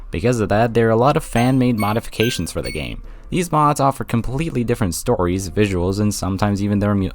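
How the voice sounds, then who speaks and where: A man narrating a scripted video game review as host of his channel. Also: lowish voice.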